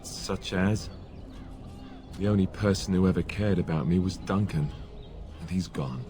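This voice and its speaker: A man's voice: low voice, unhurried, over music. A man playing an earnest, awkward young warrior prince in a video game, speaking hesitantly and sincerely. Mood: hurt, dejected.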